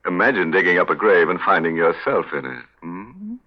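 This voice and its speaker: A man's voice: deep voice, very animated, normal speed. A man hosting a 1940s radio mystery with wry, macabre joking patter. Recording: dull vintage 1940s radio recording.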